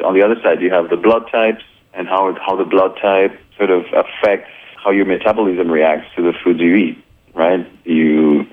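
A man from Colombia, a filmmaker and photographer, chatting easygoing as a radio guest with plenty of fillers. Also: deep voice. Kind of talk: casual radio interview. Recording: narrow phone-like radio sound.

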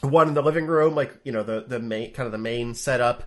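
A man's voice: mid-range voice, a little fast. Congested man chatting casually. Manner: rambling, many fillers and restarts. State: congested, sniffly from a cold. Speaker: podcast co-host. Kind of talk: casual chat. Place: home room. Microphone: webcam setup, remote call.

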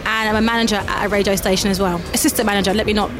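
Husky deep-voiced woman. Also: steady tone, rapid-fire, over music. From South East London. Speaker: presenter and DJ.

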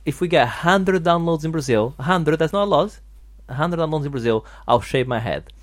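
Male podcast host, heavy foreign accent, halting, loose and chatty. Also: on the high side, lively intonation. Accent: foreign accent, strong. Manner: rambling, halting, many restarts and fillers. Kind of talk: casual podcast chat.

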